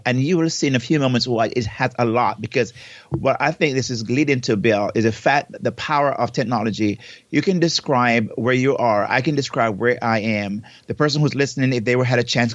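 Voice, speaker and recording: A Jamaican man co-hosts a podcast from home, talking at length and circling back over his words. His voice sits at a middle pitch. The dry recording sounds dull and lo-fi. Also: no echo. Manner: expansive, repeats and restarts words. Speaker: podcast co-host. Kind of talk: casual podcast chat. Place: home in South Florida, remote recording. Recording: dull, lo-fi remote audio.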